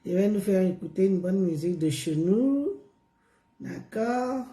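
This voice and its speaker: A hoarse woman chatting on a live stream, repeating herself and coaxing viewers along with drawn-out words. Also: medium pitch, animated, dark tone, echoey room. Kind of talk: casual live stream chat.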